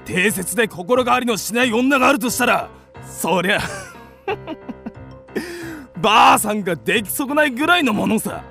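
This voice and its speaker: A blustering, pompous male landowner in a radio drama. His voice rides high and swoops widely, with a gravelly creak. He is short-tempered and scornful, formal one moment and explosive the next. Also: animated, a little fast, bright, forceful tone, faint music behind, exaggerated voice. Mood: scornful, argumentative.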